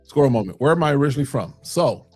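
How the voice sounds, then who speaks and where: A man's voice: medium pitch, expressive, racing, darkish tone, soft background music. A man from Chicago's South Side hosting a casual fragrance livestream, answering chat questions in a loose, conversational way with plenty of fillers.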